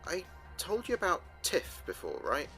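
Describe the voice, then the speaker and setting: A man playing an earnest space communications officer, recording logs in a measured, thoughtful way for a sci-fi audio drama. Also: on the high side, huge pitch swings, gravelly, fairly bright tone, faint music behind, full character voice. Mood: warm, chatty. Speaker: communications officer keeping a mission log. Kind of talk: audio drama log narration.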